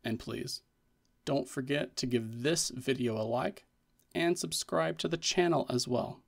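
Male tech tutorial narrator, average pitch, noticeably creaky, scripted and explanatory delivery. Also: unhurried.

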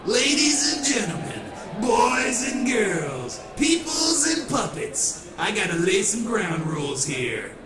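A man's voice: extremely high pitch, very animated, slow pace, few pauses, roomy sound, exaggerated voice. A man voicing a cocky, wild, green-faced trickster rock showman in a comic book dub, hammy and theatrical. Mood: showboating. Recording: loud music behind the voice. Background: loud music.

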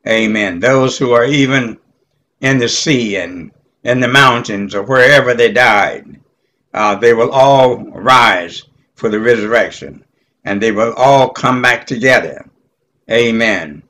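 An elderly man teaching Bible study in a halting, deliberate way, punctuated with amens. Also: medium pitch, pushed and bright. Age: 70s or older. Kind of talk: informal Bible teaching with scripture reading.

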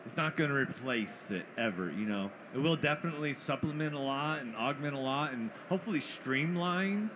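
A man's voice: mid-range voice, animated, a little fast, few pauses. Upbeat male panelist, mid-range voice, loose conversational delivery over background noise. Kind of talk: casual panel chat. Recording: noisy conference stream.

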